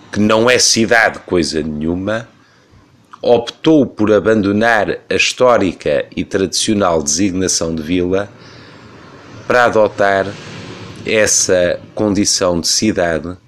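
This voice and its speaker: A man in his thirties with a European Portuguese accent, giving an emphatic, rhetorical opinion commentary on the radio. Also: sits low.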